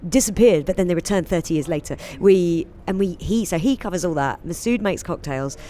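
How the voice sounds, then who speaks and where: A woman, a comedian and singer, chatting as a guest in an interview, laughing often and going off on quick asides. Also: fairly low pitch, mellow, a little hiss or hum. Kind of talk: casual interview chat.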